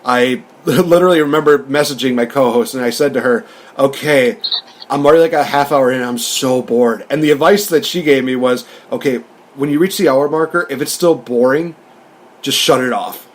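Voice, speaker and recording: A man's voice: medium pitch, moderate pace. A man co-hosting a podcast, talking casually and rambling as he acts out remembered remarks in a review discussion. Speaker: podcast co-host. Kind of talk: casual movie review chat.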